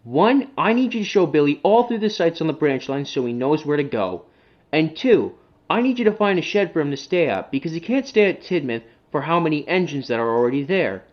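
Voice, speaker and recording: A man's voice: a little high, big pitch swings, brisk, highs cut off. A man voicing a courteous, businesslike railway manager in a children's train story, explaining and encouraging. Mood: instructive.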